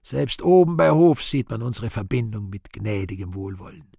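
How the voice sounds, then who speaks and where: A man's voice: fairly low pitch, lively intonation, normal speed, gravelly, dark tone, no room sound, studio quality. A man playing the Devil as an imperious, grandiloquent seducer in a radio play. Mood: grandiose. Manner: grandiloquent, coaxing.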